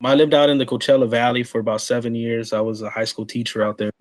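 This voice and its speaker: A man's voice: mid-range voice, flat delivery, fast. A man in his forties from Texas with a mid-range voice, hosting an interview. He asks his questions in a friendly, eager way with plenty of casual slang. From San Antonio, Texas. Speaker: podcast host.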